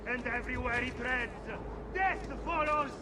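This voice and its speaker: An actor playing a fanatical, grave preacher, declaiming solemnly like a fire-and-brimstone sermon in a video game. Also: male voice, very high-pitched, heavy background noise. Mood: solemn, accusing. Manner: declamatory, solemn, drawn-out delivery. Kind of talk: theatrical sermon oratory.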